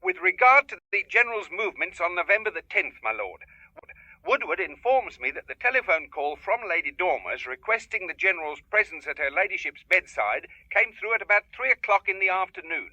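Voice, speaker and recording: An actor playing a formal, deferential manservant reporting precisely through a telephone filter, with an English accent. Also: male voice, high voice, big pitch swings, a little fast, sharp tone, exaggerated voice. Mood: dutiful, precise. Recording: thin, tinny telephone line.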